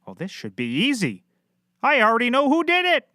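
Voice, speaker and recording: A man's voice: very high-pitched, wildly swooping pitch, fairly quick, bright, forceful tone, no echo, clean studio sound, full character voice. A man playing a cocky, clueless small-time private eye in a scripted comedy, glib and rambling. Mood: glib, excitable. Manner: glib, rambling, self-assured.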